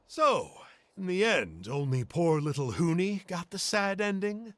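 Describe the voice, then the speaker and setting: A man's voice: sits high, big pitch swings, slow, a bit bright, no echo, heavily acted. A man voicing a boastful, theatrical uncle who tells dramatic stories in a video game. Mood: teasing. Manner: hammy, dramatic storytelling. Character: boastful, theatrical adult male storyteller uncle.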